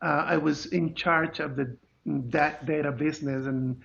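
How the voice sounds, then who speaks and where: Man, middle-aged, Venezuelan Spanish accent, raised pitch, casual interview talk, slightly muffled lo-fi sound. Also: steady tone, unhurried, creaky, fairly bright tone. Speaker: podcast guest, compensation and benefits consultant.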